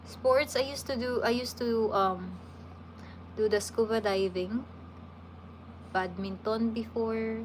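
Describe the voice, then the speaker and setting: Slow-talking woman, noisy background. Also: a little high. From Philippines. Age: 30s. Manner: relaxed, chatty, with frequent restarts. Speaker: livestream host. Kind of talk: casual livestream Q&A, reading viewer questions aloud.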